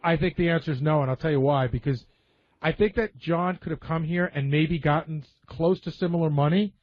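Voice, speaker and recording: A man's voice: on the high side, fairly even intonation, fairly quick. A man explaining his opinions bluntly in a casual talk radio discussion. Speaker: radio show staff member and panelist. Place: radio studio. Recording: slightly dull, lo-fi radio audio.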